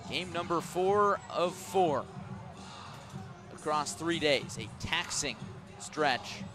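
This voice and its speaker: A man's voice: a little high, animated. Man calling baseball on radio, music behind. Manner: measured call that rises sharply on big plays. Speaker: radio play-by-play announcer. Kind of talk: live baseball radio commentary.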